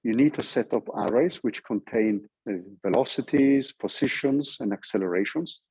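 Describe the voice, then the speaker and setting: A male university lecturer with a Norwegian accent talks in an informal, explanatory way. His voice is mellow and rather husky, at a middling pitch. He walks through the material step by step, checking in as he goes. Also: low-quality recording. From Norway.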